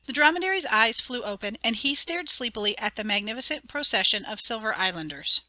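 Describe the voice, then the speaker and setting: A woman narrates a fairy tale with clear, expressive storytelling. Her voice is bright and rather high. The recording is close and dry, with no room sound. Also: a little fast, dry recording. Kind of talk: dramatic audiobook reading.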